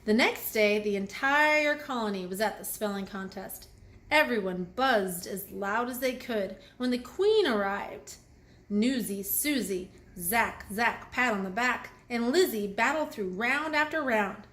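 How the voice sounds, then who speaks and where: Female children's story reader, high voice, highly animated, expressive, addressing young listeners. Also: unhurried. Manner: expressive, asks the listeners questions. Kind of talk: read-aloud storytelling with asides to children.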